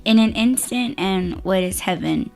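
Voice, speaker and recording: A woman hosting a podcast in casual chat, her voice hoarse and raspy, laid-back and quick to laugh. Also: medium pitch, faint music behind, poor audio. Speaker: podcast host.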